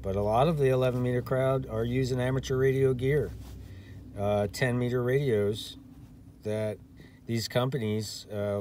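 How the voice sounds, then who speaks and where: A man talking casually on his own as an amateur radio operator, rambling with many fillers and restarts and clearing his throat now and then. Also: mid-range voice, darkish tone, slight background noise. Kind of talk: casual unscripted monologue.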